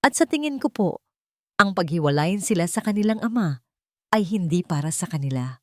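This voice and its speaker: A woman voicing a gentle, respectful nanny in an audiobook drama, hesitant yet earnest and principled. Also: fairly low pitch, animated, fairly quick, no echo, studio quality, natural voice. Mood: earnest resolve.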